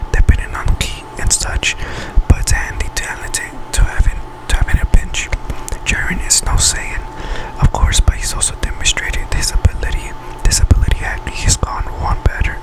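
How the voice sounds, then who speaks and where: Male ASMR host, whispered throughout, breathy and unvoiced, reading facts with halting, self-correcting delivery. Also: low-quality recording. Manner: halting delivery, stumbles and repeats words while reading. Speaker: ASMR video creator and host.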